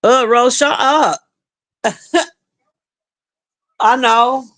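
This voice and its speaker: A middle-aged woman with a deep, husky smoker's voice, chatting profanely and bluntly with her livestream viewers. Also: very slow. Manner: profane, blunt, animated banter. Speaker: livestream host reading chat. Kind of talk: casual livestream chat.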